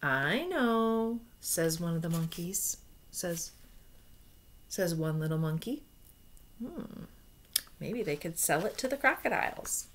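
A woman's voice: on the low side, measured pace, pauses often, a little hiss or hum. An American woman in her forties reading a children's picture book aloud expressively, with asides and questions to young listeners. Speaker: read-aloud storyteller. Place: small room at home.

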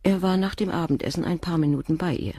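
An actress playing a composed middle-aged doctor's wife and former nurse, speaking frankly and in a measured way in a radio drama. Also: female voice, on the low side, mellow, husky, dry recording, studio quality. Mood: candid. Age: 40s. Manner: measured, frank, controlled confession. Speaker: witness questioned by the inspector.

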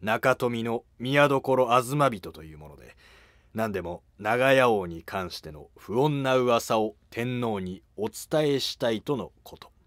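A man playing a scheming, calculating court noble of ancient Japan, speaking formally in a historical animated drama. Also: medium pitch, slow pace, no room sound, clean studio sound. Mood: deferential, insinuating.

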